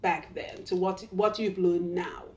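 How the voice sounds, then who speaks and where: A woman's voice: lowish voice, level tone, darkish tone. Laughing Trinidadian woman host. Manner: laughs often, quick affirming interjections. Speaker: loctician and channel host. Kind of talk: casual interview chat.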